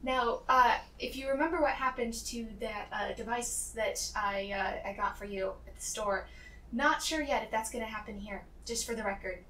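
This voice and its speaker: A woman's voice: fairly high pitch, moderate pace, a bit bright. A woman playing a tabletop game, chatting casually and improvising as she goes. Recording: roomy, distant mic with background noise.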